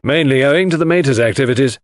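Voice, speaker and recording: A man voicing an exasperated, outspoken English country gentleman with slangy upper-class talk in an audiobook. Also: mid-range voice, fast, no room sound, studio quality, pitched above the performer's own voice, natural voice. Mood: breezy. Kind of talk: audiobook character dialogue.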